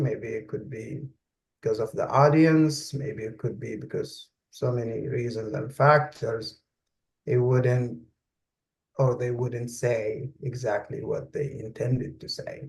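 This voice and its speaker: A man, speaking English as an in an interview. He talks slowly and deliberately, pausing often to search for the right words, at a middle pitch for a man. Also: steady tone, gravelly, poor audio. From Saudi Arabia. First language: Arabic. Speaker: guest expert. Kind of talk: interview conversation.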